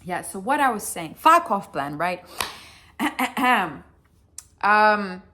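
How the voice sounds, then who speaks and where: A woman's voice: medium pitch, very animated, drawn-out words, bright, forceful tone. A young woman with a Russian accent, speaking English as a second language in a blunt, chatty vlog, swearing freely and poking fun at herself. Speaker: vlogger. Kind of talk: casual chat to camera.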